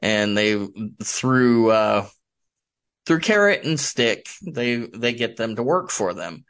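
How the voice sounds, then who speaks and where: American author guy in an interview, talks slow, casual, keeps feeling around for the right word. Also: mid-range voice, sing-song, a bit bright, clear, resonant tone. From United States, Michigan. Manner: halting, informal, searching for words. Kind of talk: casual explanatory interview talk.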